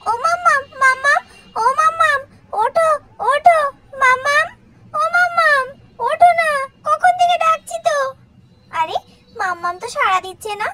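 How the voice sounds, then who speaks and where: Casting: a small scared girl doll, piercingly high, whiny and pleading, over loud hiss. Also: lively intonation, normal speed, long pauses. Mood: worried. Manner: whining, pleading cries. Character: frightened little girl doll calling for her parents. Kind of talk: doll-play story acting. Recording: very noisy, hissy track.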